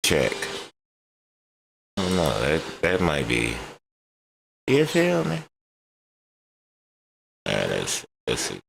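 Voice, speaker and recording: A man doing a slow, offhand mic check, mumbling test phrases as he tries out settings. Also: rumbling low, huge pitch swings, creaky, a bit bright, a little hiss or hum.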